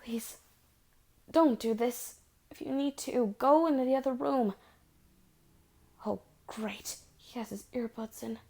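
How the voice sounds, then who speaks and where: High-pitched young woman, playing a girlfriend with asthma, audio roleplay, soft half-whisper, pleading. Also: slow pace, gravelly, pauses often, heavily acted. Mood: worried pleading. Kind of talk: audio roleplay, spoken to the listener.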